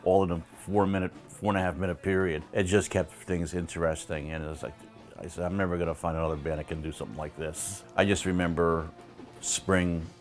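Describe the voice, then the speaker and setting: A man's voice: low-pitched, little pitch movement, a little fast, soft background music. An American man in his sixties, a musician, telling memories casually in an interview. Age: 60s. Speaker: musician in a band.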